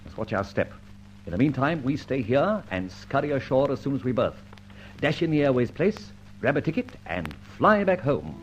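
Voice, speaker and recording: A man with a British accent playing a cheeky, unafraid gentleman adventurer, flippant and clipped, in an old-time radio detective drama. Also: medium pitch, sing-song, normal speed, lightly acted. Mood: brisk. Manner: flippant, clipped upper-class banter. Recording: muffled old radio recording, faint noise.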